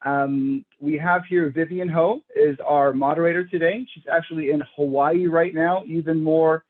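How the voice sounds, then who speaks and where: A man chairs an event and opens it with halting remarks full of fillers. His voice is a touch higher than usual. The sound is tinny and narrow, like a phone line. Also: fairly even intonation. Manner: hesitant, many fillers. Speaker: committee chair.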